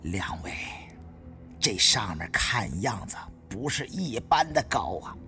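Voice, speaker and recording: A man's voice: fairly low pitch, big pitch swings, takes it slowly, creaky, pushed and bright, soft background music, voice pushed down, full character voice. A man voicing a shaken, sighing advisor on a tomb expedition in an audiobook. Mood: shaken, grave.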